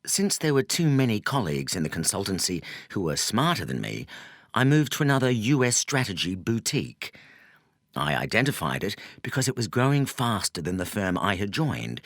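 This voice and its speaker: A man reading a nonfiction audiobook in a measured, articulate way with emphatic phrasing. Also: on the low side, big pitch swings, a bit bright, no room sound, clean studio sound. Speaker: audiobook narrator. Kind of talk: scripted audiobook narration.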